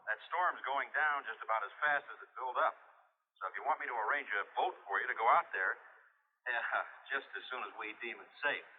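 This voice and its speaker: A helpful, informal officer acted by a man talks quickly at a medium pitch, a little hesitant. It is vintage radio acting with a narrow, tinny sound. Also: slightly rough, lightly acted. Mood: apologetic, helpful. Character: apologetic, helpful male Coast Guard officer. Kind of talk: old-time radio drama acting. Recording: tinny vintage radio recording, faint noise.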